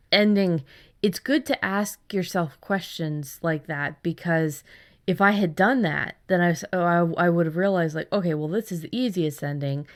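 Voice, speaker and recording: A woman co-hosting a casual conversation about writing, talking candidly and with some self-deprecation. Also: fairly low pitch. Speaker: podcast co-host and fiction writer.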